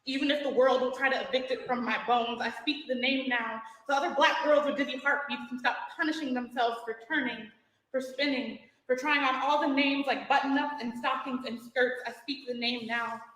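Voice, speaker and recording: A woman performing spoken word poetry with deliberate, declamatory phrasing on stage in a hall. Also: high-pitched, steady tone, a bit bright. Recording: echoey hall with long reverb.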